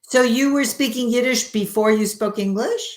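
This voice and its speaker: A woman interviewer with a fairly high voice asks her guest questions and thanks him warmly. She is recorded in an echoey room. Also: moderate pace. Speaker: interview host. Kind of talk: conversational interview.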